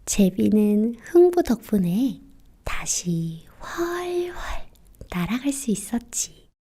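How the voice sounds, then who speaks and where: A woman narrating children's fairy tales in a soft, breathy, often near-whispered voice, with gentle, expressive phrasing for bedtime. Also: on the high side, slow. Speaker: children's storyteller. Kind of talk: quiet bedtime fairy-tale narration in casual storytelling speech.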